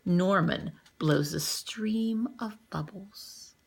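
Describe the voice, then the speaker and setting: A woman's voice: on the low side, extremely slow, gravelly, breathy edge. A woman reading a children's picture book aloud like a teacher, expressively acting out the story and commenting as she goes. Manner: animated, acts out dialogue and comments on the pictures.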